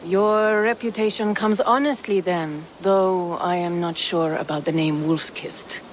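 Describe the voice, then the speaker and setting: A woman's voice: fairly low pitch, steady tone, a little slow, slight background noise, close to an everyday voice. An actress playing a shrewd, courteous trader woman from the east in a video game, with formal, wry, playful phrasing. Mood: grateful, wry. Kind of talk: video game cutscene acting. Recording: music under the voice.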